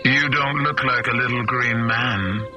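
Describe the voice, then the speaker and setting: A man doing a dry, slow-spoken disguised agent. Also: mid-range voice, steady tone, highs cut off, close to an everyday voice. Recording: game audio with music behind.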